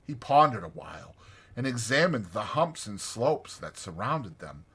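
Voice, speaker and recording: A man narrating a storybook as a dramatized audiobook, with expressive, deliberate phrasing. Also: mid-range voice, slightly rough.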